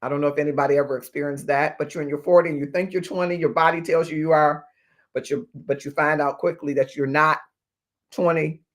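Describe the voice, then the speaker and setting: Higher-voiced man in his fifties, deliberate. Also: fairly even intonation, fairly bright tone. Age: 50s. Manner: deliberate, emphatic phrasing with pauses. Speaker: life coach and livestream host. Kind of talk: motivational livestream talk to viewers.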